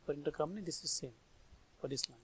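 A man with an Indian accent narrating a step-by-step software tutorial, thinking aloud informally in short phrases. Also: fairly high pitch, fast talker, husky. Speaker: software trainer. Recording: background noise with mouse clicks. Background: noise and clicking.

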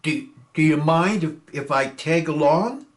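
A man's voice: a little high, measured pace, close to an everyday voice. A man reading a play aloud, voicing a timid young male airman who stammers and breaks down crying. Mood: nervous.

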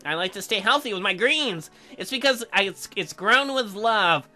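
A man's voice: high-pitched, lively intonation, normal speed, sharp tone, soft background music, heavily acted. A man voicing an earnest, bashful young farmer husband from a dating game, hesitant and awkward.

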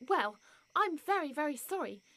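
A woman's voice: high voice, big pitch swings, moderate pace, fairly bright tone, big-room sound, a little hiss or hum, exaggerated voice. A woman playing a curious, polite, easily flustered young girl in an audio drama, wondering and earnest. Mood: polite, puzzled.

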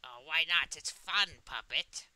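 A man's voice: fairly high pitch, sharp tone. A man voicing a clingy, menacing evil puppet twin in an improvised game roleplay, coaxing and wheedling. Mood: sinister coaxing. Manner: coaxing, drawn-out, sinister wheedling.

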